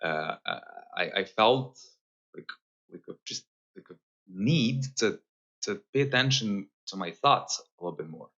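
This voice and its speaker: Male, 30, Serbian accent. Bright, low-pitched voice, reflective podcast guest who pauses often. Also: expressive, lo-fi sound. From Serbia, Novi Sad. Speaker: podcast guest, holistic guide. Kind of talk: casual conversational interview.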